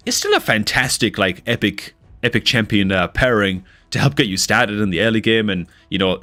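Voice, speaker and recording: Low-voiced northeast English man streaming. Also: pushed and bright. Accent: northeast English, near Newcastle, mixed. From northeast England. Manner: rambling, filler-heavy, talks to chat. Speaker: gaming streamer. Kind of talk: casual livestream chat.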